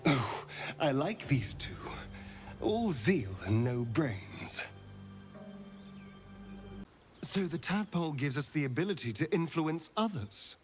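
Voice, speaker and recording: A vain, sardonic vampire rogue for a fantasy game. His delivery is theatrical, with pitch that leaps and dips widely on the emphasis. Dramatic music plays behind him. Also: sing-song, a little slow. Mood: smug. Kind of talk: dramatic video game acting.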